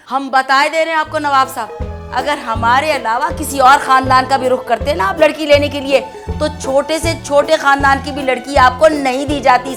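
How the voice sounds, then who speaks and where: A woman's voice: high voice, fairly bright tone. An actress playing an indignant old nawab's wife, a haughty grandmother, scolding with flowery courtesy in a family comedy. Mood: indignant, boastful. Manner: formal, flowery, scolding Urdu-style courtesy. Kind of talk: theatrical family comedy acting.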